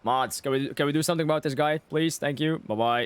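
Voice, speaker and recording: A man's voice: fairly high pitch, fast, smooth, dry recording. A man streaming a game and chatting casually and wryly with viewers in short, informal reactions. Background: game music.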